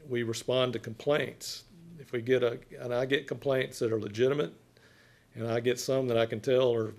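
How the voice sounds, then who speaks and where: An older man with a loud voice, a health inspector giving an informal, folksy presentation to a committee. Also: mid-range voice, creaky. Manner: folksy, plain-spoken. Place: wood-panelled council chamber.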